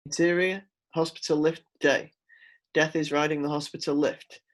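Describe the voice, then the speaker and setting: Man with a slightly high voice reading out stage directions from a screenplay. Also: little pitch movement, moderate pace. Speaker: script reader. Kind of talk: scripted narration, screenplay read-through.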